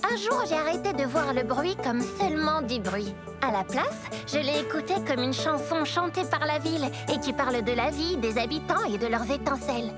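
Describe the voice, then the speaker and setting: A woman voicing a gentle, helpful country rabbit girl, friendly and reassuring, in a French-dubbed children's cartoon. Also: high voice, animated, moderate pace. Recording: loud music behind the voice. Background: music.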